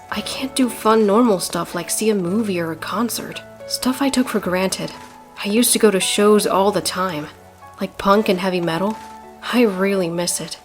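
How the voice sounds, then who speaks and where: A woman's voice: medium pitch, close to an everyday voice. Woman as a dry, tough young turtle ninja. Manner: dry, casual. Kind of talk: comic dub voice acting.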